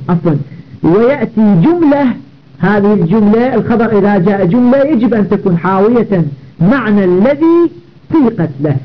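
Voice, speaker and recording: Male grammar teacher, very high-pitched, methodical and repetitive, dull lo-fi recording. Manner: repeats and self-corrects, slows down for quoted verses. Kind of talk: explaining a classical grammar poem.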